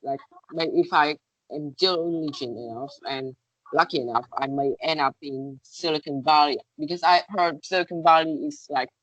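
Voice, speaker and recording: A woman whose first language is Vietnamese answers contest interview questions in English. Her voice sits low and sounds dry and close. She hesitates often, filling gaps with "uh" and "like" as she reaches for words. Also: moderate pace, fairly bright tone, dry recording, low-quality recording. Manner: hesitant, searching for words, many fillers.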